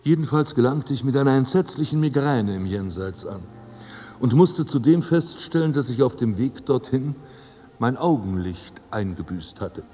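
A man's voice: mid-range voice, animated, normal speed, dark tone, close to an everyday voice. A man playing a haughty, self-assured dead aristocrat in a radio drama, speaking in formal, ornate and pompous phrases. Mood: pompous, wry. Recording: long hall reverb, music underneath.

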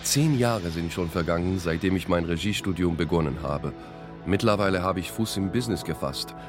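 A man's voice: low voice, a little fast, music in the background. A man from Israel narrating a scripted first-person radio piece. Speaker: narrator, theatre and radio play director.

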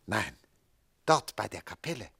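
An actor playing a weary, wistful middle-aged official lost in memories, dreamy and theatrical, in a German radio drama. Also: male voice, on the low side, sing-song, a little slow, creaky, a bit bright, breathy edge, no room sound, exaggerated voice.

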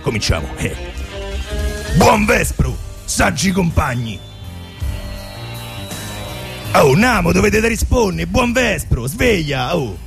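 An actor playing a bumbling, bossy Roman gangster in satirical radio theatre, with a Roman accent and an exasperated, muttering manner. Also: male voice, high-pitched, big pitch swings, unhurried, gravelly, music in the background, exaggerated voice. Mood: fussing, impatient. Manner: muttering, exasperated, breaking off and restarting. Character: bumbling, bossy Roman gang boss leading a mock ritual.

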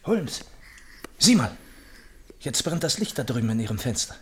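A man's voice: medium pitch, sing-song, moderate pace, lightly acted. An actor playing a detective's loyal, uneasy male companion in a German radio drama, earnest and obliging in manner. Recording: noisy radio play recording.

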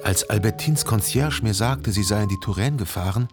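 An actor playing a brooding, obsessive jilted lover who narrates his thoughts in an introspective, deliberate way in a literary radio drama. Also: fairly low pitch, natural voice. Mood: anxious brooding. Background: music.

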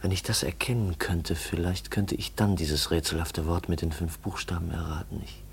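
An actor playing an earnest, brooding young man in a 1950s German radio play, with halting, reflective and intense delivery. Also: deep voice, fairly even intonation, gravelly, a little hiss or hum. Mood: brooding, urgent. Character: earnest, brooding young nephew searching for his dead uncle's secret. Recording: 1950s radio drama recording.

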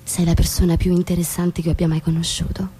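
An actress playing an earnest, emotionally restless young American wife in theatrical stage-drama style. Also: female voice, on the low side, level tone, fast talker, mellow. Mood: tender, sincere. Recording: clear music bed behind voice.

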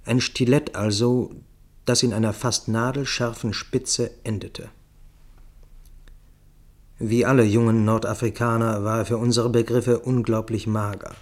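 A man's voice: mid-range voice, little pitch movement, measured pace. A man reading scripted, literary first-person narration for a radio play.

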